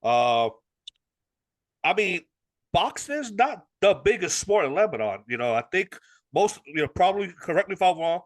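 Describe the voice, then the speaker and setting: A man's voice: high-pitched, sing-song, normal speed. A man hosting a boxing interview show, asking questions in an enthusiastic, chatty way. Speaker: interview host.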